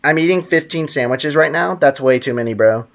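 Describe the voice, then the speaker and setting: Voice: mid-range voice, a little fast, creaky. A game streamer chatting casually on a livestream in a teasing, slangy, mock-stern way. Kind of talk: casual livestream chat over gameplay. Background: faint game music.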